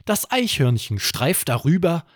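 A man narrating a scripted children's bedtime story as podcast host, with expressive, dramatic delivery. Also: on the high side, a little slow, dry recording, studio quality.

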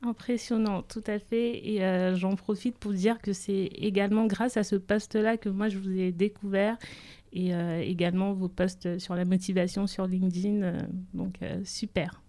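A woman hosting a career podcast, asking her guest questions in a friendly, measured way. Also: mid-range voice, fairly even intonation, dark tone. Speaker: podcast host. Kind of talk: podcast interview.

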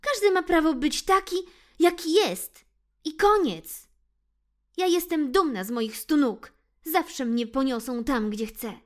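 A woman's voice: sits high, lively intonation, slow, pitched above the performer's own voice. A woman voicing a proud female centipede who firmly lectures bullies in a children's story. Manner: lecturing, firm.

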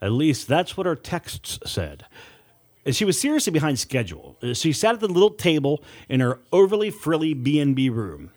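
A man reading scripted horror fiction as an audiobook narrator, in a dramatic, deliberate storytelling manner with weighted pauses. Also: on the high side, big pitch swings, a little mellow.

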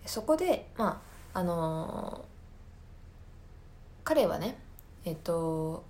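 A woman's voice: on the low side, big pitch swings, slow, a little mellow, slight background noise. A woman podcast host talking casually on her own, explaining things thoughtfully and searching for her words as she goes. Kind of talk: casual solo recommendation talk.

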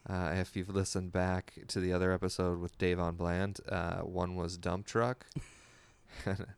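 A man's voice: deep voice, little pitch movement, a little slow, gravelly, clear, resonant tone, no room sound. A man in his thirties hosting a podcast, talking in a casual, plainspoken, conversational way. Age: 30s. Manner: informal, plainspoken. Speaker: podcast host.

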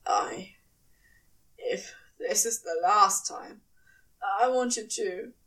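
A woman's voice: mid-range voice, slow, fairly bright tone, distant mic. A woman voicing a devoted, wry male demon lover in an audio fan fiction, with a dry, blunt delivery. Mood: desperate, loving.

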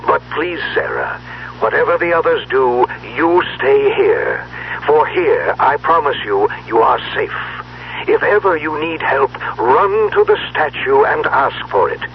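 A man playing a theatrical magician patriarch in a 1970s radio drama, speaking in grandiose, deliberate, formal phrases. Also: very animated, gravelly. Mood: solemn, tender. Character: dead magician patriarch, theatrical, speaking through a recorded will. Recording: dull noisy old radio recording, low hum.

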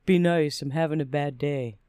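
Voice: mid-range voice, normal speed, dark tone, clean tone, dry recording, clean studio sound, natural voice. A narrator voicing a whiny, taunting, self-pitying ex-husband in a personal story, with a needling manner. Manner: whining, needling.

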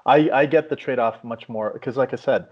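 A man's voice: medium pitch, moderate pace, breathy edge. A man from Afghanistan hosting a conversational podcast, explaining his points at length and with emphasis. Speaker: podcast host. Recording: dull lo-fi audio.

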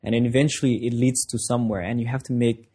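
A man whose first language is French, giving casual interview answers as a designer and guest, often pausing and repeating words as he thinks. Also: medium pitch, flat delivery, dark tone, breathy edge.

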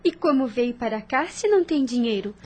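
A woman's voice: a little high, lively intonation, brisk, a little mellow. A woman playing an anxious, dutiful young orphaned niece in a period radio soap, speaking formally and earnestly. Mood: resigned, questioning. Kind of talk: radio soap opera acting.

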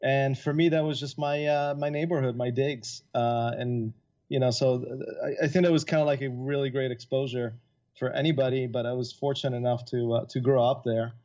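A man from Italy who moved to Florida as a teenager, chatting casually as an interview guest in a halting, filler-filled way. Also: mid-range voice, steady tone, warm tone, lo-fi sound. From Florence, Italy, and from his teens Florida. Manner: halting, chatty, peppered with "like" and "you know". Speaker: podcast guest, composer and filmmaker. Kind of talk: casual, anecdotal interview talk.

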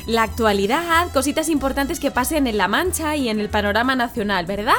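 A woman's voice: fairly high pitch, lively intonation, a little fast, a bit bright, faint music behind. A middle-aged woman from Madrid, hosting live morning radio and teasing her guest, laughing often. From Madrid, Spain. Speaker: radio host.

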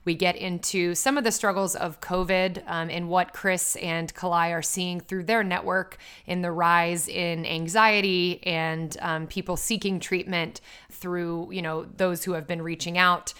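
Bright voiced lady hosting a podcast, upbeat n chatty, lots of ums. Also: on the low side, level tone, unhurried, hardly any pauses, clean tone. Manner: upbeat and chatty, with many fillers. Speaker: podcast host. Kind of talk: casual podcast interview.

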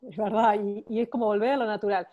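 A woman's voice: mid-range voice, steady tone, quick pace, dull audio. A woman in her forties with a Buenos Aires accent, a podcast guest speaking enthusiastically about her work and family. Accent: Buenos Aires Spanish. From Buenos Aires, Argentina. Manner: warm, enthusiastic storytelling with frequent restarts. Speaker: guest, cosmetics expert. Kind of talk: podcast interview.

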